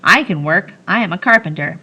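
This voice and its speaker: A woman voicing a dogged quiet boy. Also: lowish voice, lively intonation, fairly quick, highs cut off, slight background noise, natural voice. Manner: dogged, terse.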